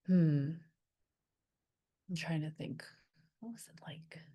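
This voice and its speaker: A woman whose first language was Vietnamese, telling personal memories in a soft, hesitant way and pausing often to search her thoughts. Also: sits low. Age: adult. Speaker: wellness creator. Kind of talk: reflective personal storytelling.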